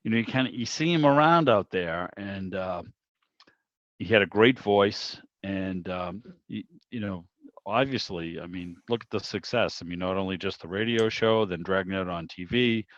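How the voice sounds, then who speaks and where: Male meeting host, lowish voice, rambling and enthusiastic casual talk, muffled video-call sound. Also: moderate pace. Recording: dull, roomy video-call audio.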